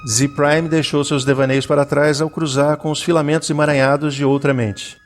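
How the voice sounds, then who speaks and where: A man's voice: a little high, level tone, normal speed, faint music behind. A man with a Brazilian accent narrating a scripted science fiction audio drama.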